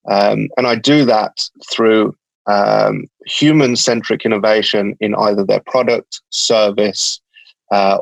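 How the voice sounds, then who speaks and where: A man's voice: fairly low pitch, gravelly, no echo, lo-fi sound. A man with a Birmingham accent, a company founder talking as a podcast guest, hesitant and full of ums and restarts. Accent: Birmingham English. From England, Birmingham. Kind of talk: casual interview talk.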